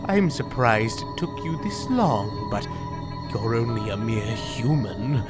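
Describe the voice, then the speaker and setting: A man plays a menacing alien villain in an audio drama. He speaks slowly and deliberately, with condescending, silky politeness and calm control, while loud music plays behind him. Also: on the low side. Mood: smug, controlled.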